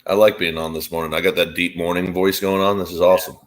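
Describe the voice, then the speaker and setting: A man's voice: sits low, level tone, fast talker. A young man co-hosting a casual sports watchalong podcast, joking and swearing as he chats. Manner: sweary, joking, mock British slang. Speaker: podcast co-host.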